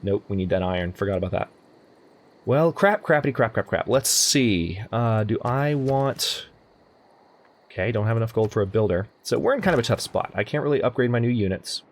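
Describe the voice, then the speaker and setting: A man's voice: on the low side, lively intonation, fairly quick. A young man casually commenting on a strategy game as he plays, thinking aloud and often correcting himself. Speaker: let's play host. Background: faint game music.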